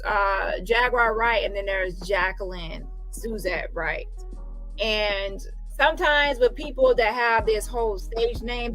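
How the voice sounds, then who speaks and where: Female livestream host: bright, mid-pitched, rambling and emphatic commentary. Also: poor audio.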